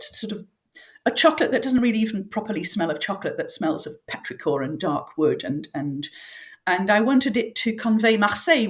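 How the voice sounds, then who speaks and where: A middle-aged woman author from northern England, raised speaking French, telling vivid stories at an easy pace with the odd filler in a relaxed interview. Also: medium pitch. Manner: reflective, vivid storytelling with fillers. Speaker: author guest. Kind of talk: conversational interview.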